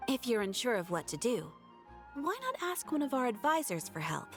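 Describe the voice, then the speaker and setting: A woman's voice: fairly high pitch, sing-song, moderate pace. An actress voicing a polite female guild receptionist with a formal, courteous delivery. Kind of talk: game voice acting. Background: faint game music.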